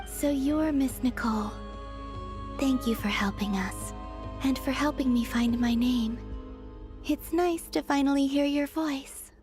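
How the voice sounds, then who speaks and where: A serene young moon maiden in an anime game. Her voice is very high and gentle, with a soft, slow, earnest delivery over clear background music. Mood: grateful. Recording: music behind the voice.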